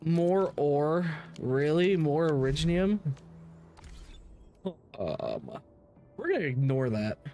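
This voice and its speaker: Animated higher-voiced man, gaming stream. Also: takes it slowly, long pauses, soft background music. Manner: sweary, slangy. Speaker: game streamer. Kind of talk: casual livestream chat while gaming.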